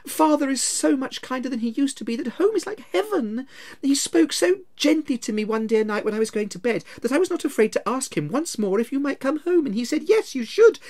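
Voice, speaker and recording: A reader voicing a small, excited girl who is gleeful and loving, speaking in a high, eager way. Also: a little fast.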